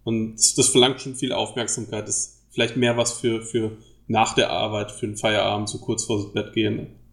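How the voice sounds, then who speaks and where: A man hosting a casual podcast discussion, explaining things haltingly with many fillers. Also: fairly low pitch, flat delivery, vocal fry, echoey room. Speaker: podcast host.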